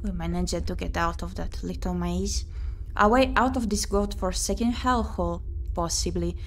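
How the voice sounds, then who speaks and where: A woman's voice: fairly low pitch, steady tone, normal speed, a little hiss or hum. A woman streamer playing a visual novel, reading the story text aloud expressively and adding her own asides. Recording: music behind the voice. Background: game music.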